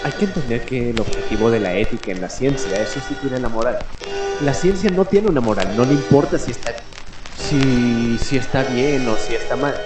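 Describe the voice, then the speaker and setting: A man's voice: medium pitch, normal speed. Reflective male late-night radio host, roomy echo. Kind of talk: late-night radio monologue in an old-time announcer persona. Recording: roomy echo with music behind. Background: music.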